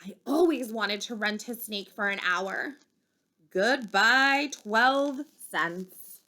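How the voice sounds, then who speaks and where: A woman reading a children's story aloud expressively, with dramatic pauses and emphasis. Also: on the high side, lively intonation, sharp tone. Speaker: storybook reader.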